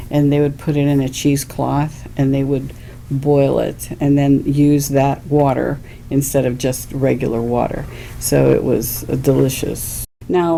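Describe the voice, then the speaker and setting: Interviewee: woman, first language Greek, low gravelly voice, unhurried and chatty, faint noise behind. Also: mellow. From Weirton, West Virginia. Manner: unhurried, with frequent fillers and "you know". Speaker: oral history interviewee. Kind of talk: relaxed personal recollection.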